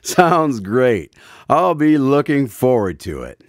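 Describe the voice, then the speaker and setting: A man's voice: medium pitch, sing-song, takes it slowly. A man hosting a radio drama as its announcer, speaking in a scripted style. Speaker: announcer and host. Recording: loud music behind the voice.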